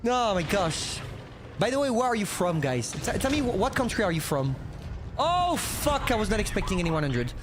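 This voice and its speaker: A man's voice: high voice, very animated, moderate pace, music in the background. A young man from France with a French accent, streaming gameplay and chatting casually with viewers, rambling and talking to himself. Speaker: gaming streamer. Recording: noisy, glitchy mic cutting out.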